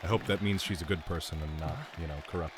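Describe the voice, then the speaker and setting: A man's voice: sits low, steady tone, quick pace. A man giving casual, conversational gameplay commentary as a streamer. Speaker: gaming streamer. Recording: game music and crowd noise behind.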